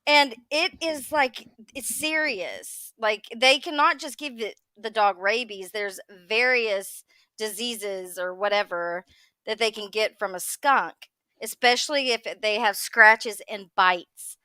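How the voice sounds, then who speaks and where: High, bright, blunt woman chatting. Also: slow, clear, resonant tone, dry recording. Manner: blunt, exasperated, swears freely. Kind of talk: casual gossip chat.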